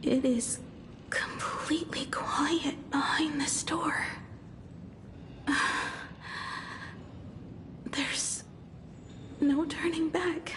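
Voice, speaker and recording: A woman playing a frail, earnest young heroine in a video game, speaking haltingly with breathy emotion. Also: sits high. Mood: hesitant, anxious. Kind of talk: dramatic video game voice acting.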